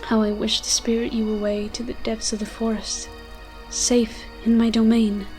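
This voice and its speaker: A woman's voice: a little high, little pitch movement, normal speed, darkish tone, soft background music, close to an everyday voice. A woman voicing an ancient, moss-covered forest demon in an audio roleplay, tender and protective, speaking in solemn, poetic, archaic storytelling phrases. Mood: wistful, regretful.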